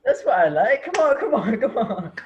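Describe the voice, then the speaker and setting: Man acting as a menacing, wildly animated unhinged teacher. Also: extremely high pitch, muffled recording. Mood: mocking cheer. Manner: theatrical, taunting, with drawn-out words. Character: menacing, unhinged male teacher taunting his captive students. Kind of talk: amateur reader's theater acting.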